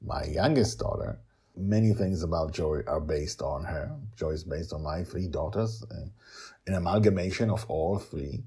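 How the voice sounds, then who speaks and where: A middle-aged man hosting his own story podcast, talking personally with dramatic emphasis and a husky voice. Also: low voice, moderate pace. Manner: animated, dramatic emphasis, chuckles while talking. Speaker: podcast host, author and storyteller. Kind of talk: personal commentary and scripted storytelling.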